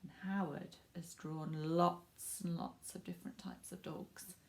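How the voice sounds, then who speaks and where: A woman reading her picture book aloud for children in an expressive, emphatic way, with asides to the young listeners. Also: on the low side, a little slow. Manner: addresses young listeners directly. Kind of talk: children's storybook read-aloud with asides to viewers.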